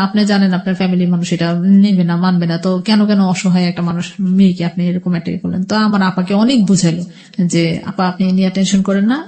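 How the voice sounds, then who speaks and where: A woman's voice: on the low side, warm tone. A middle-aged woman with a Bangladeshi accent telling her personal story as a radio interview guest, conversationally, often quoting what people said. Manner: conversational, quotes past exchanges as she narrates.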